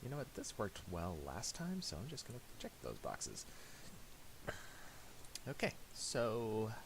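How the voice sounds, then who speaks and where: A man giving a casual, unscripted software tutorial, halting as he thinks aloud while he works. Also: fairly low pitch, wildly swooping pitch, vocal fry. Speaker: tutorial host. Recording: hissy home recording with mouse clicks.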